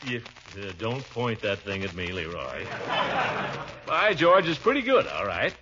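An actor playing a blustery, fussy middle-aged uncle, pompous and excitable, in 1940s radio comedy style. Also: male voice, lowish voice, measured pace, noisy background. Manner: pompous, excitable, with exclamations and chuckles. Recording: muffled vintage radio recording with music.

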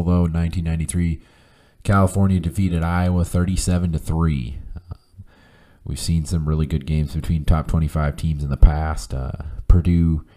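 A hoarse man getting over the flu, hosting a casual solo podcast and talking in a halting way with many fillers. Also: rumbling low, dark tone. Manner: halting, many ums and uhs, reads off lists of stats. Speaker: podcast host.

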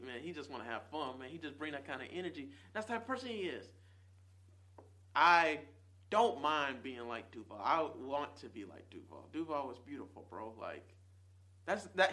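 A man in his twenties talking casually and earnestly to his viewers, rambling and swinging between loud bursts and quiet trailing asides. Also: a little high. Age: 20s. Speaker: vlogger. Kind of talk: casual chat to camera.

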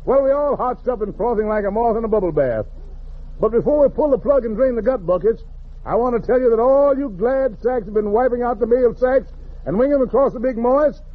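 A man's voice: extremely high pitch, expressive, fairly quick, husky. A man hosting a 1940s radio show with slangy, rhyming hepcat jive talk and showmanship. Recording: muffled vintage radio broadcast with music.

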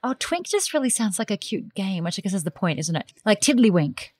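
A woman hosting an interview podcast, animated and teasing as she talks with her guest. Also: mid-range voice, brisk, no room sound. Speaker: podcast host.

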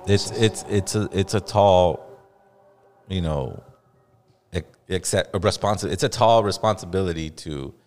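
A man's voice: sits low, unhurried, darkish tone. A man hosting a casual podcast chat, laid-back and loose, calling his guest bro and swearing freely. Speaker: podcast host. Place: podcast studio in Los Angeles.

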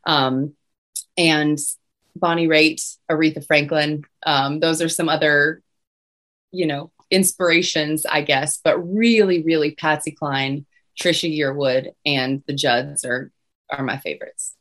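A young woman singer with a low voice, chatting casually as an interview guest. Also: steady tone, measured pace, a bit bright. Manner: chatty, many restarts and fillers.

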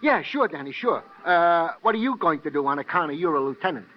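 A man's voice: fairly high pitch, lively intonation, fairly quick, close to an everyday voice. An American man voicing an obliging, slightly dim police sergeant sidekick in an old radio crime drama, hesitant and repetitive. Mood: eager, obliging. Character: eager, deferential, dim-witted male police sergeant sidekick. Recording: muffled old radio recording, faint noise.

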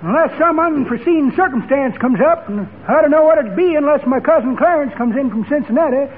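A man's voice: very high-pitched, lively intonation, fairly quick. An actor playing a folksy, chatty old man in a 1940s radio comedy, rambling on, on a tinny old recording. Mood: folksy, cheerful.

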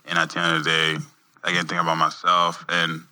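Very deep, flat-voiced young man. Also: clean tone. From Montreal, Canada. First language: French. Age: young adult. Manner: soft-spoken, halting, repeats words while searching for them. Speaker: guest athlete. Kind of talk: casual interview answers.

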